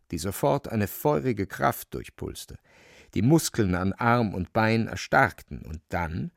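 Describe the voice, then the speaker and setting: Gravelly, animated male storyteller. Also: mid-range voice, no echo, clean studio sound. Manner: expressive, vivid. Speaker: audio drama narrator. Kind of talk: dramatic literary storytelling.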